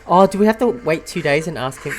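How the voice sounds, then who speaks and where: A woman's voice: sits low, expressive, quick pace, slight background noise, rough recording. A woman gaming streamer giving casual playthrough commentary, rambling and thinking aloud.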